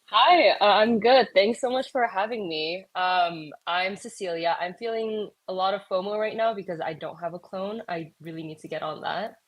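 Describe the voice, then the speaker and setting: A woman's voice: medium pitch, normal speed, hardly any pauses. A woman guest, a startup co-founder, introducing herself in a friendly, eager way with some fillers. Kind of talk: casual live audio chat.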